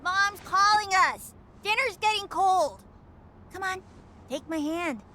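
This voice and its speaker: A woman's voice: very high voice, lively intonation, slow pace, sharp tone, a little hiss or hum, exaggerated voice. A woman voicing an earnest young female character in a video game. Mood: eager, calling. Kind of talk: scripted game dialogue.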